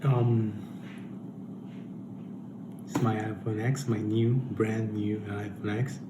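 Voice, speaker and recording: A man presenting a comic unboxing video in a casual vlog style, with a halting, understated delivery and drawn-out phrases. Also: fairly low pitch, little pitch movement. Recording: roomy echo, loud background noise.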